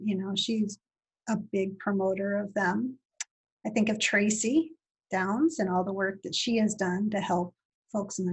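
A woman's voice: medium pitch, breathy edge, low-quality recording. A woman whose first language is Italian, answering interview questions thoughtfully, with frequent fillers and restarts. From Italy. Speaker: university guest. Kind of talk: podcast interview.

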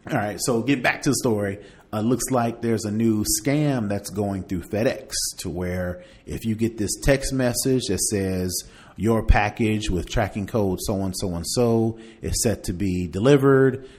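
A man's voice: fairly low pitch, measured pace, darkish tone. A man hosting a podcast, chatting casually through tech news and partly reading aloud, in a conversational way. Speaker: podcast host.

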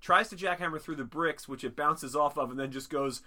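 Bright-voiced animated man. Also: on the high side, a little fast, slight background noise. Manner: animated, swears a lot, acts out bits. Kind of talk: casual comedic chat recapping a cartoon movie.

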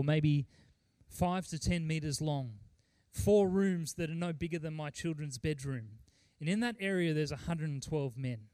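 A middle-aged male pastor delivers a sermon in a fairly high voice. He is earnest and emphatic, pausing deliberately and repeating key phrases. The sound is dry, with very little room echo. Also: darkish tone. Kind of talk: sermon with mission report and scripture reading.

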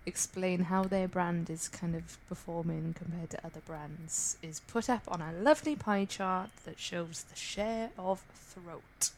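A woman's voice: on the low side, a little slow, few pauses, darkish tone, a little hiss or hum, rough recording. Chatty British woman, laughing podcast host. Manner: chatty, laughs often, self-deprecating. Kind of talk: casual podcast chat.

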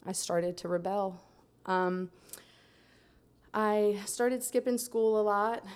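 A young adult woman from Central Florida telling her life story in a steady, soft-spoken way, pausing often with "um". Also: medium pitch, smooth. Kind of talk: personal testimony in a conversation.

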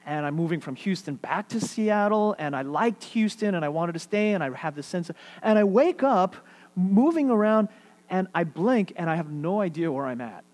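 Earnest New preacher, higher-pitched man. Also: expressive, normal speed, a little mellow. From New York City. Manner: earnest, repetitive, drops to near-whisper for emphasis. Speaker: pastor. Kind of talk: sermon.

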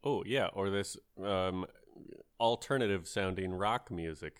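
Low-voiced man in casual conversation. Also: measured pace, clean tone. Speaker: podcast co-host. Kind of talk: casual chat about video games.

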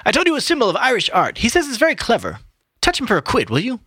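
A man voicing a boisterous, mocking, theatrical young man from a novel, loud and exclaiming. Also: extremely high pitch, huge pitch swings, fast, breathy edge, no room sound, studio quality, voice pushed up, full character voice. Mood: wheedling, mocking. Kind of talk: dramatic novel reading.

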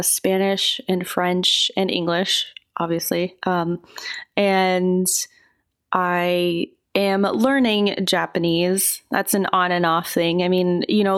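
A woman giving relaxed, thoughtful answers as an interview guest, often hedging her points. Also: on the low side.

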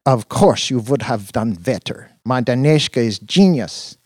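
A man's voice: mid-range voice, animated, measured pace, a little mellow, dry recording, studio quality, pitched above the performer's own voice. A man voicing a tiny, doting female holographic cheerleader, gushing in broken English in an audiobook. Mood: bubbly, adoring.

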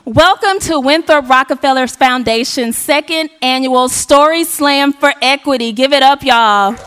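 A hoarse woman from Arkansas, hosting a live event on a stage mic and rallying the audience with call and response. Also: high-pitched, measured pace. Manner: energetic, rallying the crowd. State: hoarse, losing her voice. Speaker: event emcee. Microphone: handheld stage mic. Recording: live hall with faint audience.

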